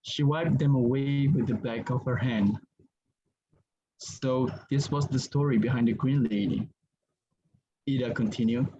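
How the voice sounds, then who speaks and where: A male narrator reads a scripted drama at a very slow pace. His tone is dark and mellow. The recording is dull, with a faint noise behind him. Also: medium pitch. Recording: muffled audio with faint noise.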